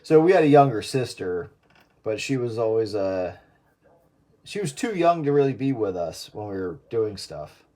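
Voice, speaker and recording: A man's voice: lowish voice, sing-song, moderate pace, dark tone. A man in his late forties chatting casually over a radio link. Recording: radio link with clear noise and clicks.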